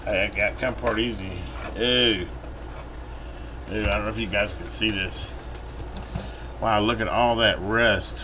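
Low-voiced folksy American man, noisy room. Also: unhurried, long pauses. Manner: folksy, rambling, thinking aloud. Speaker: repair channel host. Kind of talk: casual hands-on repair commentary. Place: indoor workbench. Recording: steady room hum, air-conditioner-like noise.

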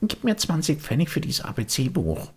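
Excitable high-pitched little puppet boy. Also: moderate pace, breathy edge, full character voice. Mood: curious, pleading. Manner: eager, impulsive, chattering. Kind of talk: children's storybook character voice.

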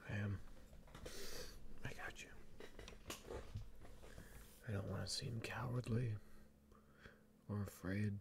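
A man playing an anxious, protective werewolf boyfriend in an intimate, hushed ASMR roleplay, speaking haltingly and often slipping into a whisper. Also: on the low side, steady tone, gravelly, noisy background. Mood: afraid, vulnerable.

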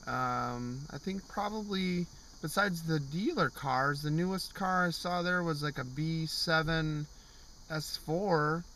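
A man's voice: on the high side, slow, pushed and bright, slight background noise, rough recording. A man in his thirties giving a casual vlog review from the driver's seat of a parked car, rambling with lots of fillers and restarts. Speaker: car show vlogger. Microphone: camera on the dashboard.

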